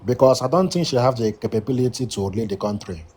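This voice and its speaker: Man in his thirties, mid-pitched caller. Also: lively intonation, fast, crowd noise. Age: 30s. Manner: repeats "you understand" as a tag. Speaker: caller on a live debate stream. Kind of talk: casual debate answers.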